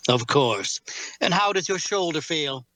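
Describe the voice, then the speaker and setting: A man playing a composed, faintly menacing captor in an audio drama read, speaking in measured, formal, precise phrases. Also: a little high, lively intonation, sharp tone, dry recording. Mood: solicitous. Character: composed, faintly menacing male captor tending his prisoner.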